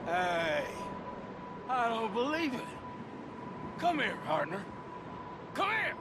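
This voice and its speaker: A man's voice: very high voice, sing-song, slow, heavy background noise, heavily acted. An actor playing a hostile, hot-tempered outlaw henchman in a video game cutscene. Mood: aggressive, alarmed. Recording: loud music behind the voice.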